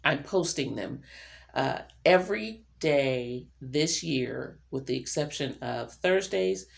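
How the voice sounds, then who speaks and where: Low-voiced woman chatting casually, slightly gravelly. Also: lively intonation, slow pace. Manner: informal, chuckles often, rambling asides. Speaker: vlogger and self-employed writer. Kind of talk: casual chatty vlog monologue.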